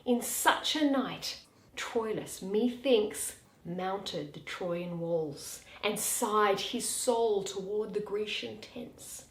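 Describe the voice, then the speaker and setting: A woman playing a tender, playful young man in love, reading Shakespearean verse in a lyrical, measured way with breathy line endings. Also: mid-range voice, reverberant, voice pushed down, rough recording. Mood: romantic, hushed. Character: tender, playful young male lover musing poetically by moonlight.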